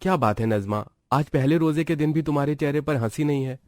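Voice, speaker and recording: Man as a gentle, caring young husband. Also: a little high, fast, slight background noise, lightly acted. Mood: concerned. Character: caring, earnest young husband from wealthy family.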